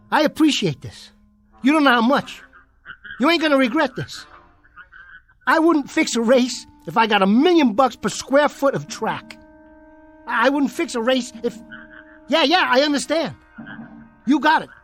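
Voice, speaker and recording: An actor playing a bitter, boastful small jockey in a radio drama, with an American accent and slangy, defiant streetwise talk over music. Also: male voice, extremely high pitch, a bit bright, exaggerated voice. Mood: disbelieving, eager. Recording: music behind the voice.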